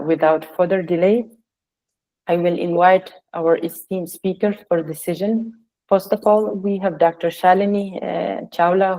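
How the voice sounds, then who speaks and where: A woman whose first language is Pashto, giving halting formal opening remarks as a session chair. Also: lowish voice, dark tone, poor audio. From Pashtun region. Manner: halting, frequent uh fillers.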